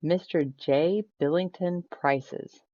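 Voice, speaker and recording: A woman's voice: lowish voice, steady tone, takes it slowly. Woman reading as frank, emphatic storybook lady Sarah. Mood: matter-of-fact. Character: Sarah, nearsighted, frank woman telling a tale. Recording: roomy echo, slightly dull sound.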